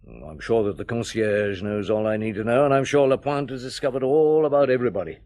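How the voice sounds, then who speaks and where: A middle-aged actor playing a patient police chief in a radio drama, questioning a witness in a calm, coaxing way. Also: male voice, medium pitch, brisk, lightly acted.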